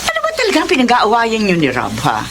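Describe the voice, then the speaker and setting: A woman's voice: fairly high pitch, huge pitch swings, fast talker, heavy background noise. A woman playing a protective, indignant mother in a Filipino radio drama, scolding and emphatic. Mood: stern, concerned.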